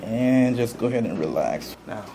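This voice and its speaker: A man working as a chiropractor, giving calm hands-on instructions with short repeated reassurances in a small treatment room. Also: on the low side, moderate pace, a little hiss or hum.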